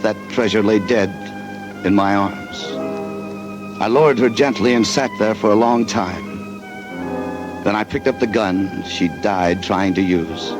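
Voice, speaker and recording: A man's voice: sits low, close to an everyday voice, low-quality recording. A man playing a brooding grieving adventurer. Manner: slow, brooding first-person narration. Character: guilt-stricken male adventurer grieving a lost love. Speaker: narrator and lead character. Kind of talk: 1940s radio drama acting.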